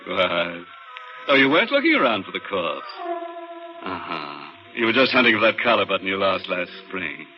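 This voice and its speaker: An actor playing a macabre, mocking horror host in 1940s radio, speaking with sardonic, ghoulish playfulness. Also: male voice, sits low, wildly swooping pitch, moderate pace. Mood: sardonic, teasing. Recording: muffled old radio broadcast, organ-era music.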